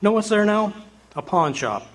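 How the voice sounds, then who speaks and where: A man playing a gentle, very old but childlike angel in a live radio drama. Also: high voice, very animated, moderate pace. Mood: earnest, concerned. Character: gentle, childlike, nearly 300-year-old male angel, earnest and cheery. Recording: live stage recording, faint room noise.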